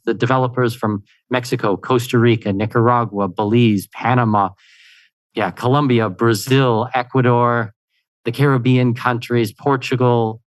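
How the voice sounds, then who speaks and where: A man talks as a podcast guest, keen and lively, with casual fillers scattered through. His voice sits at an ordinary middle pitch and sounds dry and close, with no room around it. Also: dry recording. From Canada. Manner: energetic and upbeat, many "you know" fillers. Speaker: guest, expat consultant. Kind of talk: conversational interview answers.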